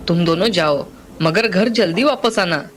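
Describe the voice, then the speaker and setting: A woman's voice: fairly low pitch, unhurried, a little hiss or hum, lightly acted. A woman voicing an anxious, caring young boy, a protective big brother, in a dramatized moral story. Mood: reassuring.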